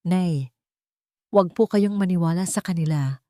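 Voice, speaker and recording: A woman's voice: fairly low pitch, a little slow, mellow, no room sound, studio quality, natural voice. A woman voicing a wronged young wife in an audio drama, earnest and emotional. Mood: tearful pleading. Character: wronged young pregnant wife, firm yet fearful.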